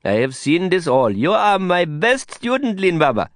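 A man voicing an eager, excitable Indian guide with an Indian accent and effusive, lilting English, in an audiobook. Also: high-pitched, normal speed, no room sound, clean studio sound, voice pushed up. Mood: cheerful, proud. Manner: effusive, lilting non-native English phrasing. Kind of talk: audiobook character voice.

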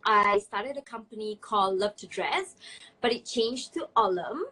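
A woman's voice: mid-range voice, moderate pace, sharp tone, rough recording. A woman from Malaysia chatting casually as an interview guest, playful and self-deprecating, on a video call. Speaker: interview guest, startup managing director. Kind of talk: casual chat. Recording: video call with faint background noise.

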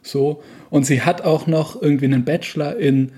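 A man talking casually and enthusiastically about a film in a relaxed discussion. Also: on the high side, normal speed, slightly rough. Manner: enthusiastic, conversational, loose phrasing.

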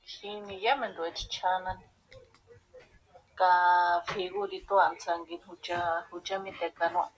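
Slow, husky woman. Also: on the low side, flat delivery, low-quality recording. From Michoacán, Mexico. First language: Purepecha. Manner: halting, mixing two languages. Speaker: interviewee, mother. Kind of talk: casual interview answers.

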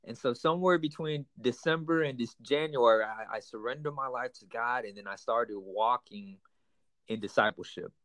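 A man's voice: medium pitch, normal speed, a bit bright, no echo, poor audio. A young man from Houston, Texas, earnestly telling his life story as an interview guest, with many fillers and restarts. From United States, raised in Houston, Texas. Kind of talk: personal testimony in an interview.

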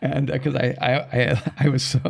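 A middle-aged man from El Salvador, a pastor whose first language is Spanish, chats on a podcast with a slightly gravelly, fry-edged voice. He tells stories animatedly, acting out the people he quotes in them. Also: medium pitch, a little fast. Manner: animated storyteller with quoted asides. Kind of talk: casual conversation.

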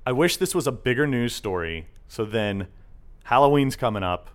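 A man's voice: medium pitch, lively intonation, normal speed. A young man hosting a casual podcast chat, joking and often slipping into silly put-on voices. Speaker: podcast host.

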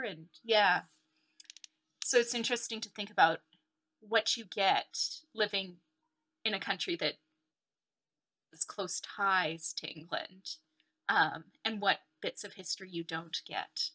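Bright-voiced woman. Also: medium pitch, measured pace, long pauses. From Ontario, Canada. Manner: reflective, chatty with many likes and ums. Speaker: guest, dancer and researcher. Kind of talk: casual interview chat.

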